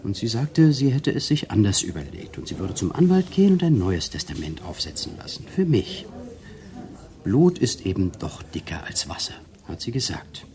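Low-voiced, swooping smooth-talking conman lover. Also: very animated, normal speed, mellow. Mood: persuasive, confiding. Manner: intimate, theatrical, often drops to murmurs and whispers. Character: smooth-talking male conman lover, self-pitying, manipulative. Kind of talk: German radio crime drama acting.

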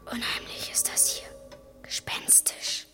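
A performer in a children's radio play voicing a wide-eyed, uneasy young boy helper with a breathy, husky voice. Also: takes it slowly, in a whisper, music in the background, lightly acted. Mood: spooked.

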